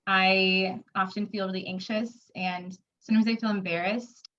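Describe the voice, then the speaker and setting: A woman's voice: mid-range voice, fairly even intonation, normal speed, fairly bright tone, dull audio. Stuttering woman in her twenties. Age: 20s. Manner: stutters with sound repetitions and blocks, many fillers. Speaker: interviewee. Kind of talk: personal testimony.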